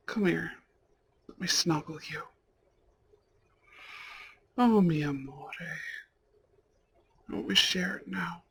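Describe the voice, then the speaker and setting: A man playing a devoted young mafia heir and husband in a romantic ASMR audio roleplay, with a breathy, intimate delivery. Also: sits high, slow pace, long pauses, fairly bright tone, full character voice. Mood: tender, loving. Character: devoted, tender mafia heir, newlywed husband and anxious father-to-be.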